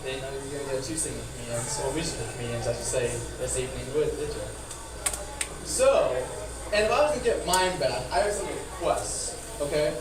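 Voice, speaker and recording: A man doing rambling, profane, self-deprecating stand-up, with a speech impediment that softens his R sounds. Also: medium pitch, brisk. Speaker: stand-up comedian. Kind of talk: stand-up comedy at an open mic. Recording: echoey, noisy room, far from mic.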